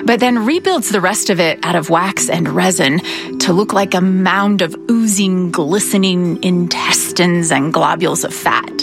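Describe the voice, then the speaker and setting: A woman from Utah hosting a podcast, narrating in a clear, measured way and interviewing guests. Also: lowish voice, music in the background. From Utah, USA. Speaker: podcast host. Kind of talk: scripted radio narration and interviewing.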